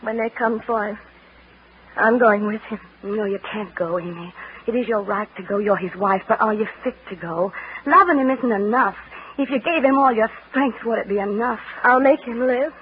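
A woman's voice: on the high side, moderate pace, lightly acted. An actress playing a strong-willed, pleading Southern woman in a theatrical 1940s radio drama. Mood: pleading, resolute. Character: strong-willed, impassioned Southern belle and her devoted rival wife. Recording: noisy, muffled vintage radio broadcast.

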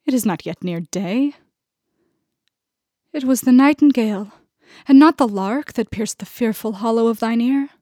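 A woman's voice: a little high, normal speed, long pauses, warm tone, dry recording, studio quality, lightly acted. A woman voicing a young female acting student who is pushy and passionate, pleading and insisting in her lines. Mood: reciting.

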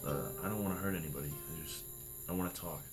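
A young man playing a mysterious long-lost brother in an audio drama, speaking in a halting, tentative way. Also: low voice, close to an everyday voice. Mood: tentative, confused. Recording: loud music and insects behind.

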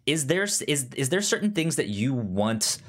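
A man co-hosting a podcast in a casual film discussion, halting and often repeating words and syllables as he forms his thoughts. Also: medium pitch, very animated, fairly bright tone. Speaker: podcast co-host.

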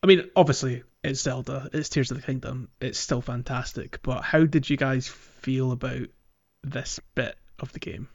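Man, medium pitch, casual podcast host, conversational delivery, slightly dull recording. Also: steady tone, normal speed, few pauses, no echo. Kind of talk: casual gaming discussion.

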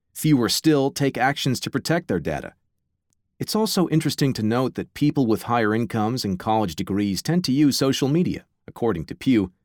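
A man's voice: mid-range voice, dry recording, clean studio sound. A man reading aloud from his own book on a podcast, with wry conversational asides.

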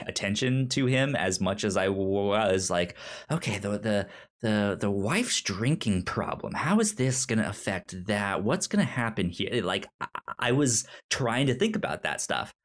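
A man talks casually as a film podcast co-host in an informal, rambling way. His speech is halting, with a stutter that repeats sounds and syllables before words come out. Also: fairly low pitch. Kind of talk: casual movie discussion.

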